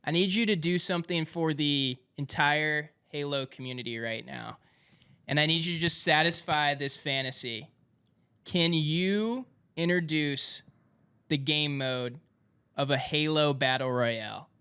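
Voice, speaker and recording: Male podcast host, higher-pitched and bright, forceful, casual upbeat chat. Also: unhurried, clear, resonant tone.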